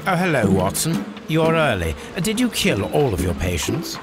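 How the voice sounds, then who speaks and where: An actor playing a brilliant, eccentric Victorian English detective, formal, precise and dryly teasing, in a video game. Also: male voice, medium pitch, huge pitch swings, moderate pace, over loud music. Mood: cheerfully eccentric.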